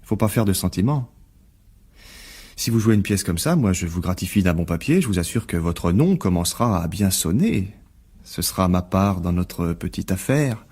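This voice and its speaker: An actor playing a smug, scheming blackmailer of a journalist in a radio mystery drama, insinuating and falsely polite. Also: male voice, deep voice, lively intonation, moderate pace, darkish tone, slightly rough, full character voice. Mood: insinuating, menacing.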